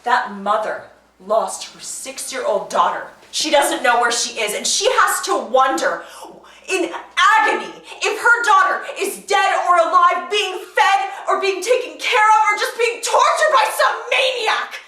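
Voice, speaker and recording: A high, sharp-edged voice swinging widely as an indignant, upset woman. She speaks in slow, emphatic accusations, naturalistic drama style, in an echoey room. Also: sing-song. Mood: outraged, upset. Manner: emphatic, accusing, repeating questions. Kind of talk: naturalistic film drama acting. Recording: echoey room, distant mic.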